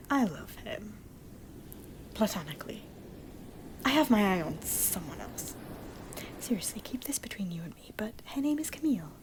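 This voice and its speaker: A woman playing a gentle, wistful middle-aged noblewoman in an ASMR roleplay, speaking in a soft, breathy, confiding whisper with theatrical phrasing. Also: medium pitch, background noise, close to an everyday voice. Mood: fond, playful, then confiding, tender. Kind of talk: whispered ASMR comfort roleplay, scripted character monologue.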